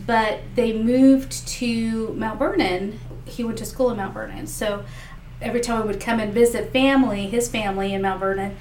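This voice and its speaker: A woman's voice: a little high, steady tone, normal speed, a little mellow. Woman candidate, Spanish native speaker, earnest, mic at a distance with faint background noise. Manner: earnest, talks in restarts with many fillers. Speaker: political candidate. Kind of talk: interview answers. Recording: echoey room, mic far off, faint noise.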